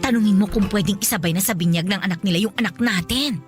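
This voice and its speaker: A woman's voice: medium pitch, fast, faint music behind, close to an everyday voice. A woman playing a talkative, brazen, teasing wife in a radio drama, chattering and needling with exclamations. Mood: scheming, pushy.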